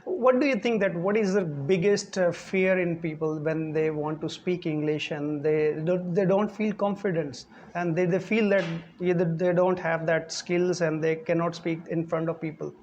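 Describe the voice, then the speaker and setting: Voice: mid-range voice, fairly even intonation, normal speed, few pauses, darkish tone, a little hiss or hum. A host asking interview questions, affirming the guest and echoing her words back.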